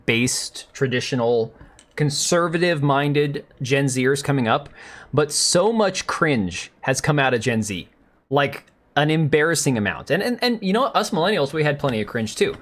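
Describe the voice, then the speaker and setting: An American man in his thirties hosting a live stream, ranting in a loose, sarcastic, rambling way with a hoarse voice. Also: fairly high pitch, fairly bright tone. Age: 30s. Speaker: livestream host. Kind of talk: casual live commentary rant.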